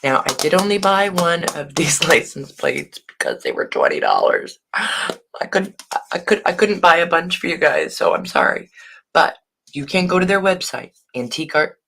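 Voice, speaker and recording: A hoarse woman who has partly lost her voice, chatting casually on a live stream and greeting viewers by name. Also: deep voice, wildly swooping pitch, normal speed, a bit bright, a little hiss or hum. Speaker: online shop owner hosting a live video.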